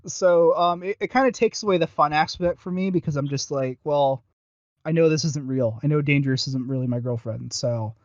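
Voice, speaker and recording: A man's voice: on the high side, normal speed, darkish tone, no room sound, dull audio. A man co-hosting a casual review podcast, talking in a rambling, self-deprecating way. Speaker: podcast co-host.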